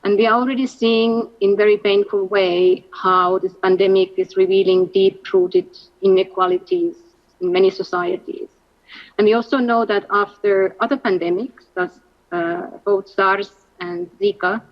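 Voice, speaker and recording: A woman from Finland giving measured, structured remarks as a panelist in an online webinar. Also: medium pitch. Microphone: video call. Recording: slightly dull online audio.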